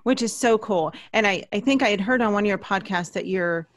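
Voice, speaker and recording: A woman's voice: medium pitch, a little fast, no room sound. A middle-aged woman hosting a casual interview in an effusive, enthusiastic way on a close studio microphone. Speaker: podcast host, interviewer. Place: small indoor studio.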